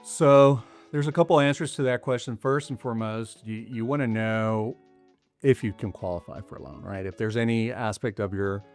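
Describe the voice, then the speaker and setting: A middle-aged man chatting as a guest, explaining things in a relaxed way with many casual fillers. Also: mid-range voice, normal speed, soft background music. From raised partly in Costa Rica. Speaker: mortgage loan officer, guest. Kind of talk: casual interview chat.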